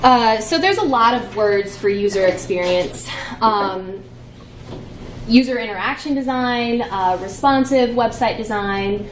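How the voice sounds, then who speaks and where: A woman's voice: fairly high pitch, measured pace, a little hiss or hum. A young woman giving a conference talk, playful and chatty with many fillers. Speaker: conference presenter. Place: conference room. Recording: echoey room from far off.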